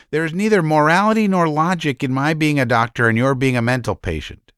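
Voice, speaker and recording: A man voicing a gentle, guilty middle-aged doctor who speaks softly and stammers. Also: fairly high pitch, brisk, clear, resonant tone, no echo, clean studio sound, natural voice. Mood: resigned. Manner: soft-spoken, stammering.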